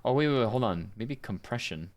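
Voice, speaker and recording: A man's voice: medium pitch, lively intonation, brisk. A man chatting casually on a livestream, muttering and thinking aloud as he works on audio. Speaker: livestreamer and amateur voice actor. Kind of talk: casual livestream chat while fiddling with audio software.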